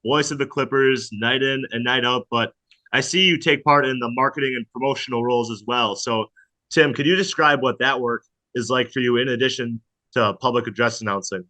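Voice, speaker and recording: Bright-voiced male sports broadcaster interviewing. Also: medium pitch, steady tone, brisk, low-quality recording. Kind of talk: prepared, polished interview questions.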